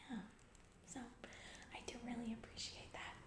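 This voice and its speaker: A woman's voice: normal speed, roomy sound, close to an everyday voice. A woman playing a distracted, callous corporate CEO in a comedy ASMR roleplay, rambling breezily in corporate-speak and trailing off. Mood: patronizing.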